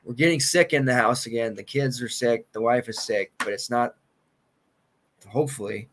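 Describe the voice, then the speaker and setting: A man in his thirties, losing his voice and clearing his throat, rambling casually through sports betting picks. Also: mid-range voice, normal speed, a bit bright, a little hiss or hum. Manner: loose, rambling, confident picks with filler and restarts. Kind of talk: casual solo podcast commentary.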